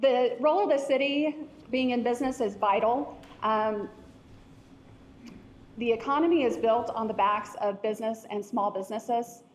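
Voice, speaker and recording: A woman with a higher voice speaks loudly and confidently as a candidate at a forum. Faint background noise sits behind her. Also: moderate pace. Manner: loud, confident. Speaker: city council candidate. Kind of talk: forum answer.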